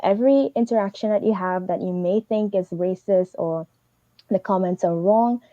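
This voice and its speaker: A young woman from Trinidad and Tobago, giving fast, earnest advice as a teaching assistant on a livestream. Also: mid-range voice, mellow, dry recording, muffled recording. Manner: fast, earnest, advising directly.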